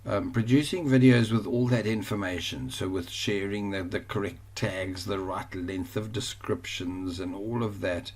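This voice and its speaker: Chatty South African man, lowish voice. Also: fairly even intonation, normal speed, few pauses, a little mellow. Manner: rambling, self-correcting, chatty. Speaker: online course instructor. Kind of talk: screen-share tutorial.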